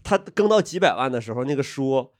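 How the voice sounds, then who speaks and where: Man, panelist, anecdotes, quips, laughs at own jokes, animated voice. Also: mid-range voice, a little fast. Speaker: podcast panelist. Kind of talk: comic storytelling in a casual chat.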